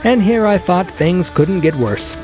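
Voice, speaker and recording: A man's voice: high-pitched, expressive, measured pace, music in the background, pitched above the performer's own voice. A man voicing a sardonic, grumbling adventurer in a fantasy audio drama. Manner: muttering, groaning, sardonic.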